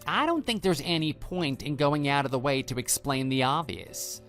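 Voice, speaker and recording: A man's voice: fairly high pitch, animated, normal speed, fairly bright tone, faint music behind. A man playing a smug, brazen lover who talks down to a betrayed husband in an audio drama. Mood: scornful, dismissive. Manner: smug, condescending, dismissive. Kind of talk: scripted texting-story radio drama.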